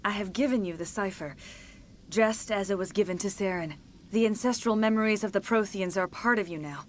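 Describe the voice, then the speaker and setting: An actress playing a centuries-old, repentant alien mystic in a video game, speaking in a formal, measured and reverent manner. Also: female voice, medium pitch, a little hiss or hum, lightly acted. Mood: solemn, gentle. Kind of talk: video game dialogue acting.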